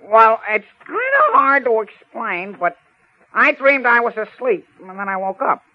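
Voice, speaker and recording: A man's voice: high voice, unhurried. A man playing a naive, earnest young newspaper office boy in an old radio drama, with a hesitant, sheepish, folksy way of talking. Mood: hesitant, uneasy. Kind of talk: 1940s-50s radio drama acting. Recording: dull vintage radio recording.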